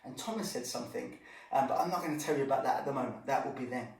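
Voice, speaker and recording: A man's voice: medium pitch, racing. Echoey, distant man telling children Bible stories. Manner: animated, with exclamations and asides to the young audience. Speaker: children's Bible storyteller and church teacher. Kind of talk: informal storytelling lesson for children. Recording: echoey room, distant microphone.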